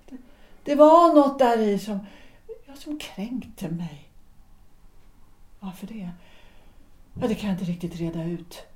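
An actress playing a weary but resolute wife in a period audio drama, speaking in a deliberate, reflective way with measured pauses. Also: female voice, medium pitch, a little mellow, lightly acted. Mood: wounded, reflective. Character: weary, resolute wife and former opera singer, 1900s Stockholm. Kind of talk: period stage-play audio drama acting.

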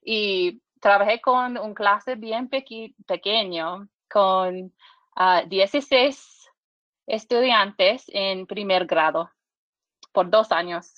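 A woman in her thirties from Michigan, a podcast guest speaking halting learner's Spanish with English as her first language, full of restarts and fillers. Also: mid-range voice, dry recording, lo-fi sound. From Michigan, USA.

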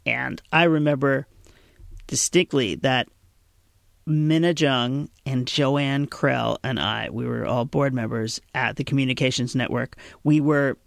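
A man's voice: mid-range voice, fairly even intonation, unhurried, vocal fry, no echo, studio quality. A man co-hosting a podcast, chatting casually, laughing often and restarting his sentences. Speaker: podcast co-host.

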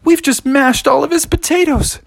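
A man voicing an excitable young boy bear with an exclamatory, youthful manner in a children's story. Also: extremely high pitch, normal speed, creaky, no room sound, clean studio sound, pitched above the performer's own voice. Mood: nervous.

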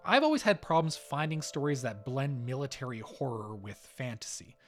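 Animated male podcast host. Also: mid-range voice, normal speed, faint music behind. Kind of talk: scripted podcast narration.

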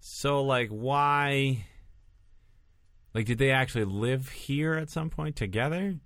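A man's voice: medium pitch, takes it slowly, fairly bright tone, no echo. A man in casual chat, asking puzzled questions and dropping dry quips.

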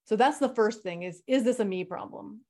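A woman's voice: medium pitch, fairly quick, mellow. A woman moderating a discussion panel, speaking bluntly and frankly.

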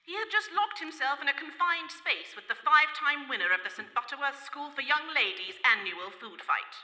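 An actress playing the wry narrator of a comic radio mystery, measured and mock-dramatic. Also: female voice, high-pitched, animated, sharp tone, heavily acted. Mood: mock suspense. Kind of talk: scripted radio play narration. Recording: echoey hall, live stage.

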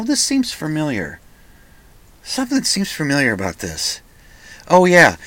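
Slightly croaky man with a cold, hosting. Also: medium pitch, a bit bright, no echo. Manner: self-deprecating, digressive. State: has a cold, sniffing, lozenge in mouth. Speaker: radio show host. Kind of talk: rambling casual solo radio talk. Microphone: microphone into recording software.

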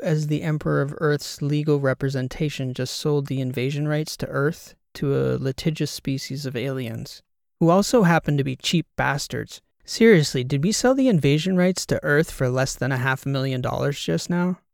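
A man's voice: a little high, normal speed, vocal fry, dry recording. A man doing a sarcastic female podcast co-host. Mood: sardonic. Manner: dry, sarcastic. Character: sardonic female podcast co-host, sharp-tongued.

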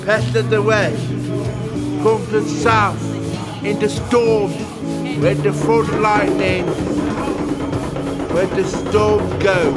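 A man playing a radio DJ who raps storm warnings in a community radio play. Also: high voice. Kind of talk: slow amateur rap in a radio play. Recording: loud percussive music behind the voice. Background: loud drums and music.

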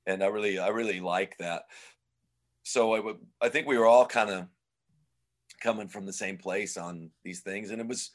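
Low-voiced man, loose and rambling. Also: moderate pace. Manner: relaxed, rambling, many "you know" fillers. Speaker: guest musician. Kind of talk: casual interview chat.

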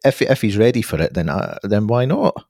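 A man talks football on a podcast in a very deep voice, weighing each point with hedges and restarts. The sound is dry and close to the mic. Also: sing-song, normal speed, vocal fry, darkish tone, dry recording. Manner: thoughtful, hedging, many restarts. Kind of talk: casual football chat.

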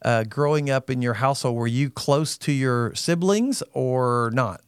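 A man's voice: mid-range voice, unhurried, clean tone, dry recording, clean studio sound. A man hosting a podcast interview, earnestly asking a guest probing questions. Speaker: podcast host.

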